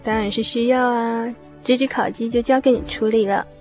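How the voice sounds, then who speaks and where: A woman's voice: on the high side, steady tone, moderate pace, darkish tone, soft background music, close to an everyday voice. An actress playing a helpful, dutiful young mother in a radio drama. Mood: helpful, busy.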